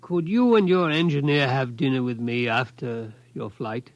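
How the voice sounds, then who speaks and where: A man playing a courteous, hesitant, wealthy elderly gentleman in a 1950s radio drama. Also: mid-range voice, moderate pace, no room sound, natural voice. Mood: polite, hesitant. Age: older. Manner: hesitant, polite, genteel. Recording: muffled 1950s radio recording.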